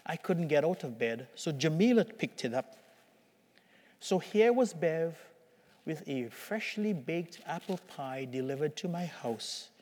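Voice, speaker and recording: Slow, echoing male preacher, slightly raspy. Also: on the high side, expressive, darkish tone. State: slightly raspy voice from recent illness. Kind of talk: sermon. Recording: long hall reverberation.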